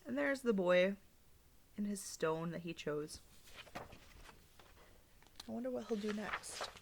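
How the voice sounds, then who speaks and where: A woman teacher reading a story aloud to young children, asking them gentle, soft questions as she goes. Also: medium pitch, expressive, takes it slowly, vocal fry, slight background noise.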